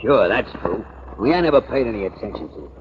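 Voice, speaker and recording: An actor playing an indignant, plain-spoken murder suspect in a 1940s radio drama, roughly colloquial and defensive. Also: male voice, fairly low pitch, animated, fast, natural voice. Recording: dull, noisy vintage 1940s radio recording.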